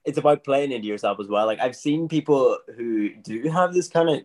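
A man, a magician talking in a relaxed, animated podcast chat and laughing often. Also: mid-range voice, fairly quick.

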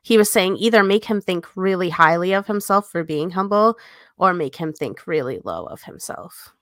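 A woman co-hosting a podcast, casually reflecting on a book and pausing between phrases as she thinks. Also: on the low side, expressive, fairly bright tone, no echo, studio quality. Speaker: podcast co-host. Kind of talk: casual book commentary discussion.

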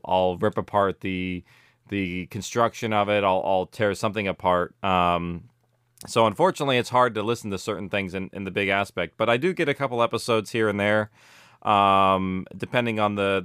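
A man's voice: lowish voice, smooth, no room sound, clean studio sound. A middle-aged man chatting casually as an interview guest, rambling and correcting himself as he goes. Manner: animated rambling, self-correcting, peppered with "like" and "you know". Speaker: interview guest, audio drama producer.

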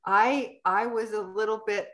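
A woman as an interview guest. Her voice sits at a middle pitch, and she answers in a conversational, personal way. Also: steady tone, measured pace, fairly bright tone. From Canada. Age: 60s. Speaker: interview guest, health and lifestyle advocate.

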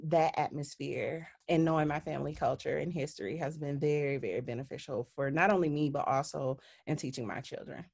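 Low-voiced woman. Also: a little fast, creaky, hardly any pauses, a little mellow. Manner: earnest, reflective. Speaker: guest. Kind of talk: interview storytelling.

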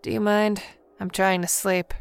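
A woman's voice: medium pitch, level tone, measured pace, creaky, a little hiss or hum. A woman playing a blunt, world-weary yet hopeful companion in a radio drama, casual and wry. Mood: wry reassurance.

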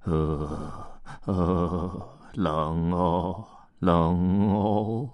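A man's voice: fairly even intonation, drawn-out words, darkish tone, dry recording. A man voicing a glum, shivering elephant in a storybook reading, mumbling wearily about the cold. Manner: low, mumbling, weary.

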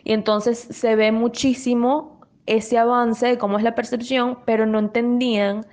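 A young woman from Venezuela, talking in an earnest, animated way in a casual discussion, with quick restarts. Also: a little high.

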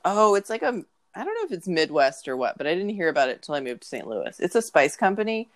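Podcast co-host, woman, congested, low voice, dry jokes. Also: big pitch swings, fast talker. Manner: joking, wry asides. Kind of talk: casual chat.

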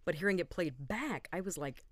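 Low-voiced woman podcast co-host. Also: expressive, fairly quick, no room sound. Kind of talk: casual podcast chat.

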